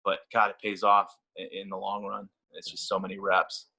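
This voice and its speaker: A man's voice: sits low, monotone, normal speed, gravelly, bright, forceful tone, slightly rough. A middle-aged man, a throws coach, chatting casually in an interview, self-deprecating and full of restarts.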